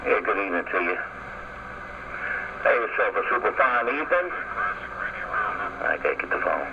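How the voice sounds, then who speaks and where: A man's voice: on the low side, very animated, moderate pace, gravelly, muffled recording. A man on CB radio repeating his call sign and giving brief friendly sign-offs. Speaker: CB radio operator. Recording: static-heavy CB radio skip signal.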